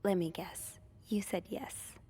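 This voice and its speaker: A woman whispering hushed, wry asides while playing a game. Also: mid-range voice, fairly even intonation, slow pace.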